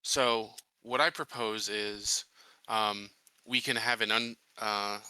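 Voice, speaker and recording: Guy at a tabletop game, super bright punchy voice on the low side, talks thru plans haltingly, dry mic. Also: steady tone, smooth, no echo, rough recording. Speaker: tabletop roleplaying player. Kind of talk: casual in-character planning talk.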